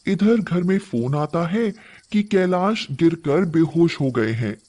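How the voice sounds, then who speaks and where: A man's voice: a little high, lively intonation, slow, a little mellow. A man narrating a scripted moral story as its storyteller.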